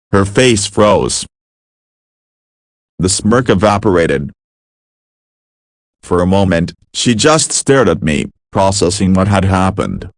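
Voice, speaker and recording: Male story narrator, lowish register, deliberate scripted delivery, very dry close sound. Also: dry recording.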